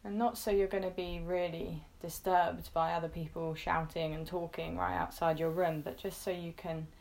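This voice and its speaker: A woman leading a guided meditation in a gentle, reassuring manner, speaking in short phrases with pauses between them. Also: on the low side, little pitch movement, vocal fry.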